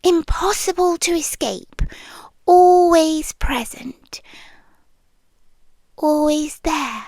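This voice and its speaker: A possessive British yandere girlfriend played by a woman speaks in a high, soft, often whispery voice, right up close and very dry. She takes her time with deliberate pauses, sounding tender and quietly menacing, as in an intimate ASMR roleplay. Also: no room sound, full character voice. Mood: teasing, possessive. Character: possessive yandere girlfriend, unseen voice in listener's head. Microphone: close mic, dry.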